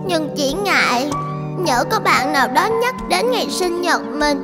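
Woman doing a little schoolgirl, very high, sweet and polite, cartoon dub, music behind. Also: measured pace, long reverb tail. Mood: worried. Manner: sweet, polite, bright and lively. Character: cheerful shy schoolgirl, about ten. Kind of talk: cartoon dubbing.

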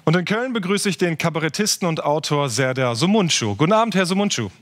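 A man anchoring a TV news show from a studio, asking brisk, probing interview questions. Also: fairly high pitch, animated, fairly bright tone.

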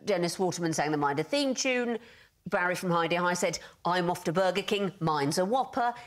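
A woman's voice: fairly low pitch, expressive, a little fast. A middle-aged woman telling comic stories as a panel show guest in a television studio. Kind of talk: comic storytelling.

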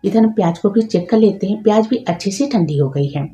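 A woman's voice: medium pitch, expressive, fairly quick, dark tone, roomy sound, faint music behind. A woman host giving patient, step-by-step instructions in a cooking tutorial voice-over, adding practical tips as she goes.

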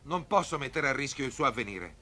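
Casting: solemn, commanding Egyptian king in animation, wide expressive pitch, forceful tone. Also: quick pace. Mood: troubled, resolute. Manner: solemn, declamatory. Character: commanding Egyptian pharaoh, grave and troubled ruler. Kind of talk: dubbed cartoon acting.

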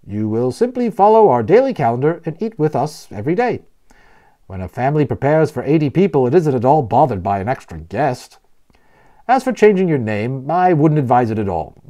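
A man's voice: medium pitch, warm tone, close to an everyday voice. A man voicing a genial, talkative man who explains things proudly and playfully as a guide in a storybook. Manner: explanatory, emphatic, playfully wordy.

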